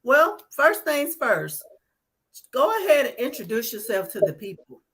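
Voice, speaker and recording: A woman hosting a live online talk show, giving energetic welcomes and shout-outs. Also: on the high side, measured pace, slightly rough, rough recording. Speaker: host.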